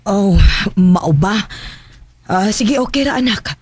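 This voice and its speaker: Gentle middle-aged Cebuano mother. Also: medium pitch, animated, slow pace, breathy edge. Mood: gentle, accepting. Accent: Filipino, Cebuano. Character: kindly, accepting working-class mother of a grown son. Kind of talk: Bisaya radio drama acting. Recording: faint noise and ambience. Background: faint ambient noise.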